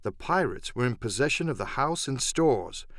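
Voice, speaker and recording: A man reading dramatic first-person adventure narration for an audiobook, measured and literary. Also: mid-range voice, animated, a bit bright, dry recording, clean studio sound.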